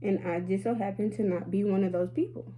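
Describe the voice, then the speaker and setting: A woman's voice: mid-range voice, steady tone, brisk, dark tone, slight background noise, low-quality recording. A woman in her late twenties talking candidly to her viewers in a casual vlog, chatty and sassy, calling them y'all. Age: 20s. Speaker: beauty vlogger.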